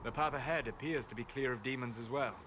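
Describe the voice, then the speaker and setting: An actor playing a calm, scholarly male mage in a video game, speaking in a formal, measured, grave way. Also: medium pitch, background noise, natural voice. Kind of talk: dramatic video game acting.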